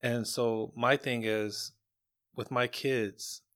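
A middle-aged man giving casual interview answers in a measured, halting way, often repeating words. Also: mid-range voice, fairly even intonation, fairly bright tone, clear, resonant tone. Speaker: guest, parent.

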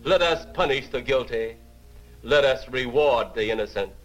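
A man's voice: medium pitch, moderate pace, faint music behind, low-quality recording. A man giving a grave, dramatic trailer voice-over. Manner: grave, portentous. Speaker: vintage trailer announcer.

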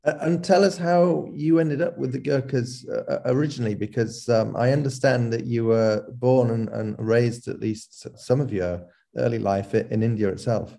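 A man interviewing a guest, asking questions in a halting way with repeated words. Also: mid-range voice, steady tone, gravelly, warm tone.

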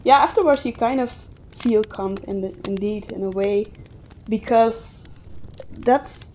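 A woman's voice: medium pitch, a little slow, a little mellow, a little hiss or hum. A woman co-hosting a podcast, talking in a casual, conversational way. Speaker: podcast co-host.

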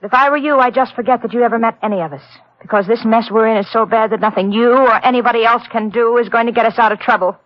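A woman's voice: on the high side, quick pace, hardly any pauses, lightly acted. A woman playing a haughty, defensive married society lady in a 1940s radio drama, clipped in manner. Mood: defensive, desperate. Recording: muffled, noisy old radio recording.

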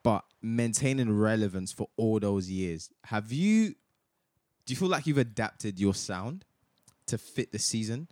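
Bantering young Nigerian-born man, low voice. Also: animated, a little slow, darkish tone, dry recording, clean studio sound. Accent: light Nigerian. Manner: laughing, teasing, says bro a lot. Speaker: podcast host. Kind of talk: casual chat.

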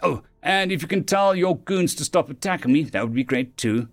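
A man's voice: a little high, animated, moderate pace, soft background music, pitched above the performer's own voice. A man voicing a brash, sarcastic human woman in a sci-fi audiobook, flippant and defiant, with casual speech.

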